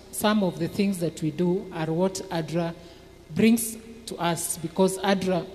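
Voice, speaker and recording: A middle-aged woman with a Kenyan English accent, explaining her church department's community work on a panel in an earnest, measured way, speaking into a handheld mic. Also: fairly low pitch, a little mellow. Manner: earnest, measured, restarts and fillers. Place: church TV studio set with plants, seated. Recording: long hall reverb, faint noise.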